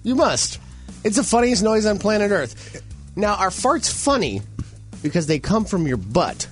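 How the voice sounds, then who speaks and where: Morning radio host, male, nasal Chicago accent, pitched a bit high, animated delivery, light music under. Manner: animated, jokey, quick to laugh. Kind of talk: casual morning radio banter.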